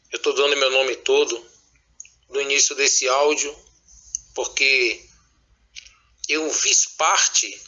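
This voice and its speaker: A man tells of his past as a pastor in an earnest, reflective way, pausing and restarting as he goes. His voice is husky and slightly congested from a cold, with a very bright, cutting tone that sits a little high. The audio is dull and lo-fi, like a played-back voice message. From Salvador, Bahia, Brazil. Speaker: former pastor giving testimony. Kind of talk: recorded spoken testimony addressed to a listener.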